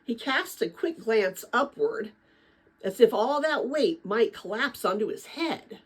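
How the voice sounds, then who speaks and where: Female storybook narrator, animated and expressive, with a husky grain, reading middle-grade fiction dramatically. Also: fairly high pitch, measured pace, poor audio. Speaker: read-aloud narrator.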